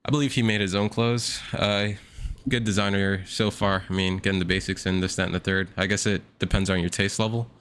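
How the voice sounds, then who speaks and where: A young man giving slangy, casual reaction commentary in a self-described monotone. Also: low voice, a little fast, slight background noise. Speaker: reaction video host.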